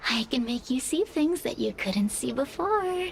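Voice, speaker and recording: An actress voicing a hungry, sinister female fire spirit in a video game, speaking in a theatrical, teasing, seductive way with breathy moments. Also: sits high, big pitch swings, a little slow, slight background noise, heavily acted. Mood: sly, persuasive. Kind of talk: video game character acting.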